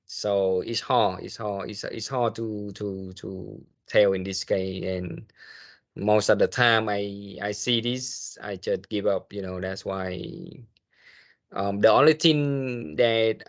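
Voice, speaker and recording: A man, speaking haltingly as he answers questions in an online meeting as a guest investigator. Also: fairly low pitch. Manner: halting, hesitant phrasing.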